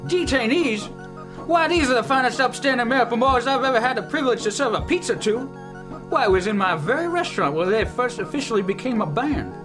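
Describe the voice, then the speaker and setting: Comic radio play role: blustering folksy old general turned restaurateur, male actor, self-important and halting, music bed. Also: extremely high pitch, animated. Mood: commanding. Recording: music under the dialogue.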